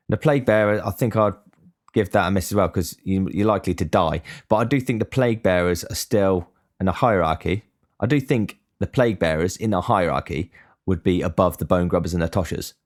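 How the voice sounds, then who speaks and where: A young man giving a blunt, sweary casual monologue as a podcast host. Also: low voice. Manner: blunt, swears freely, ranting.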